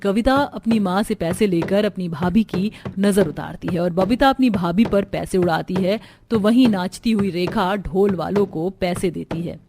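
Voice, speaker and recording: A woman's voice: medium pitch, moderate pace, few pauses, noisy background. A woman storyteller reading scripted narration for a cartoon family story.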